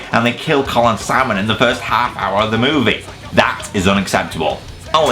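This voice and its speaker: Lively low-voiced man reviewing, music behind. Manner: opinionated, casual, sweary. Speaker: video presenter, film reviewer. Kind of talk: scripted review narration for an online countdown video.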